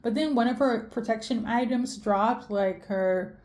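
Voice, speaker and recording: A young woman from Sweden delivers a rambling spiritual reading, full of fillers, restarts and self-corrections. Her voice sits a little high, with a soft, mellow tone. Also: measured pace. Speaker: tarot reader.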